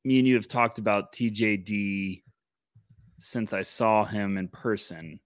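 A man co-hosting a casual sports podcast, talking in an energetic, animated way and rambling through restarts. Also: on the low side, a little slow, no room sound. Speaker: podcast co-host.

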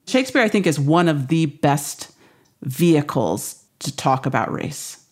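A woman's voice: deep voice, expressive, a little slow, a little mellow. A woman with an American accent explaining things as an academic guest in an interview, emphatic and articulate.

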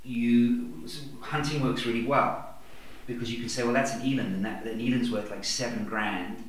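A man's voice: mid-range voice, steady tone, moderate pace, recorded from a distance. A man from Kenya chatting as a podcast guest, telling stories in a laid-back way. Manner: laid-back, anecdotal, says "yeah" a lot. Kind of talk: casual chat, storytelling. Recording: echoey room, off-mic.

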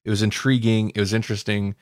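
A man's voice: lowish voice, level tone, fast talker, darkish tone, no room sound. Casual male podcaster, dry close sound. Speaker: podcast co-host. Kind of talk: casual fan discussion chat.

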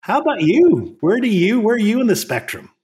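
A man's voice: sits high, wildly swooping pitch, brisk, a little mellow, slightly rough. A man from Northern Ireland with a Northern Irish accent, co-hosting a podcast and speaking in an earnest, reflective way, often repeating words as he gathers his thoughts. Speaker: podcast co-host. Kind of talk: reflective conversational podcast talk.

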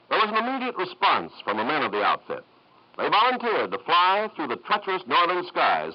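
A man reading formal scripted 1950s radio announcements in an earnest, declamatory manner. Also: a little high. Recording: muffled old 1950s radio recording.

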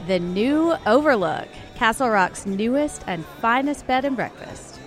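A woman plays a chatty bartender in her twenties for a radio-style drama. She is sardonic and colloquial, swinging her pitch up and down in animated fashion. Soft music sits behind her. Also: measured pace. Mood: wry. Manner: wry, casual, colloquial. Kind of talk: scripted audio drama dialogue.

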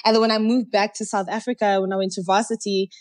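A woman rapper from Zimbabwe, a guest telling stories in a chatty, animated way in a casual interview. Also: mid-range voice, fast talker.

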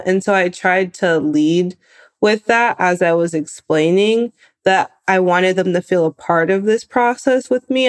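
A woman in her twenties from California, telling her own story in a lively, animated way. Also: lowish voice. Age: 20s. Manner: lively, animated retelling with laughs. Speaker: guest. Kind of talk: personal storytelling.

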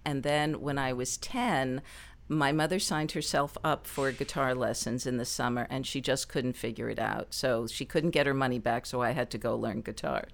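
A middle-aged woman with a low speaking voice, a performer telling stories from her life in an interview, lively and quick to laugh. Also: gravelly. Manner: lively, laughs often, animated asides. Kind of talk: conversational storytelling interview.

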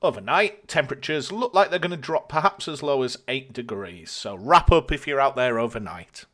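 A man's voice: mid-range voice, animated, normal speed, fairly bright tone. A British man hosting a podcast in casual chat, with a dry, jokey delivery. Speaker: podcast host.

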